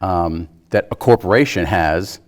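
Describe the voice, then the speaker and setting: A man with a fairly low voice talks casually as a panelist in a discussion. He is hesitant, repeating himself and circling round before he settles on his point. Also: measured pace.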